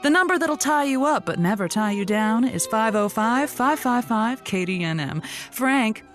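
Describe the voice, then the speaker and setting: A woman's voice: on the high side, expressive, normal speed, lightly acted. Woman acting as late-night call-in radio host, comedy audio drama, playful, flirty, teasing, faint music. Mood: flirty, theatrical.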